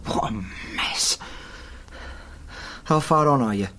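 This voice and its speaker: An actor playing an earnest, persistent family-history researcher in a radio drama, with a persuasive manner. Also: male voice, mid-range voice, slow, sharp tone. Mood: dismayed, strained.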